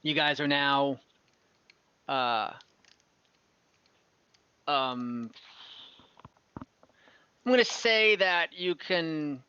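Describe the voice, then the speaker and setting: Casual tabletop game master: bright, forward voice, halting improvised narration, close dry online sound. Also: mid-range voice, clean tone, no echo. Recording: dull, dry online call audio.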